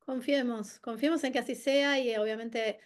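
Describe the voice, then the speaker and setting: A woman hosting a webinar interview, talking in a halting, conversational way with many restarts. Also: on the high side, fairly even intonation. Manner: warm-hearted, halting with many restarts and fillers. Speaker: webinar host.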